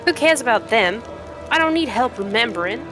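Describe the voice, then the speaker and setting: A woman's voice: fairly high pitch, expressive, normal speed, music in the background, voice pushed up, close to an everyday voice. A woman voicing a brash, scornful old cave-dwelling trader woman in a fantasy game, with blunt, rustic haggling. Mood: indignant, proud.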